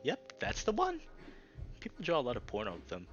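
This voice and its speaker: A man's voice: mid-range voice, wildly swooping pitch, moderate pace, a bit bright, lo-fi sound, soft background music. A man voicing a nerdy, earnest male salamander writer in a visual novel. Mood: earnest, enthusiastic. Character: nerdy male salamander sci-fi writer, defensive but enthusiastic. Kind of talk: visual novel character dialogue.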